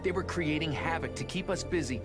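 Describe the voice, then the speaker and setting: An actor playing a serious teenage turtle leader, giving steady commands in a game cutscene. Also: male voice, a little high, a little fast, over music, close to an everyday voice.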